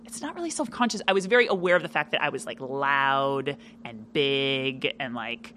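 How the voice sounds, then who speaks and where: A woman chatting casually as a podcast guest, with a loud, animated delivery full of fillers. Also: a bass voice, moderate pace, a little hiss or hum. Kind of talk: casual interview chat.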